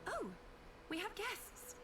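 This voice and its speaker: A woman playing a serene, regal weaver of legend in a video game, speaking formally and with measured poise. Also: extremely high pitch, animated, background noise, exaggerated voice. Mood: gracious, composed. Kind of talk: scripted video game voice acting.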